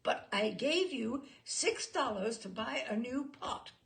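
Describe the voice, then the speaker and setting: A woman's voice: a little high, expressive, slow pace, vocal fry, heavily acted. A woman voicing a blustering, exasperated husband who lectures pompously in a story reading. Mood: stern. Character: blustering, exasperated husband, stern household disciplinarian.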